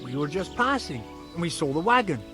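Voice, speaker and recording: A sly cocky charcoal burner. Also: male voice, fairly high pitch, very animated, music in the background. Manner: evasive, sarcastic, quickly rattled. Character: cocky defensive male charcoal burner, adult. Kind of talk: video game voice acting.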